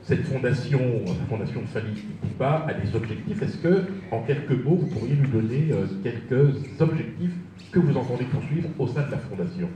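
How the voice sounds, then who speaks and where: A man's voice: mid-range voice, lively intonation, normal speed. Formal male moderator, very echoey hall. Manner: courteous, wordy formal phrasing. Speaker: press conference moderator. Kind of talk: formal moderation, addressing the press. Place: press conference room in Brussels. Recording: very echoey hall, distant, dull, faint noise.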